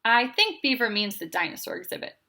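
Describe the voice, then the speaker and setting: Woman as a bright-toned, orderly owl schoolteacher. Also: on the high side, big pitch swings, fairly quick, heavily acted. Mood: reassuring. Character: orderly male owl schoolteacher, calm and reassuring.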